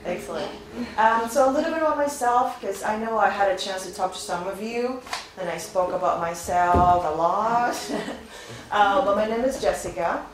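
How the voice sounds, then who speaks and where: A woman's voice: mid-range voice, moderate pace. Woman, 30s, upbeat recruiter chatting to students; captured from afar in a very roomy classroom. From born in Venezuela, raised in Spain. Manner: upbeat, chatty, asks the audience frequent questions. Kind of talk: informal presentation to a class. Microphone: far camera, no visible mic. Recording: very echoey classroom, faint noise.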